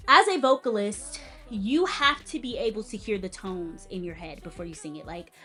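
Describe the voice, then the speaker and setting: A woman's voice: medium pitch, normal speed. A young woman music educator with a light-sounding voice, critiquing a performance in a casual, emphatic, teacherly way. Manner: animated and emphatic, stressing words and interjecting; direct, teacherly advice to the viewer.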